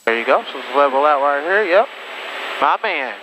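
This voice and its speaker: A man's voice: on the high side, expressive, moderate pace. A man coaching and chatting casually as a pilot over a cockpit headset, encouraging and informal. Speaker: pilot host coaching and interviewing a guest. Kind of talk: casual in-flight instruction and chat. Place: small airplane cockpit in flight. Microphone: aviation headset intercom. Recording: dull lo-fi cockpit radio audio, engine noise.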